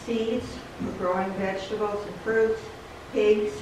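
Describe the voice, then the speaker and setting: A woman's voice: mid-range voice, level tone, a little slow. Chatty woman in an echoey church. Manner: chatty and enthusiastic, with laughter. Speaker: church member giving an announcement. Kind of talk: casual announcement to a congregation. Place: small wooden church sanctuary, at a pulpit. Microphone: stand mic at the pulpit, far camera. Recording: echoey church room from a distant camera, background noise.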